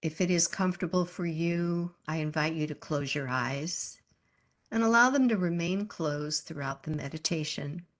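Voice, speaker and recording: A woman leading a guided meditation as a yoga teacher, speaking calmly and gently in unhurried phrases with pauses. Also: sits low.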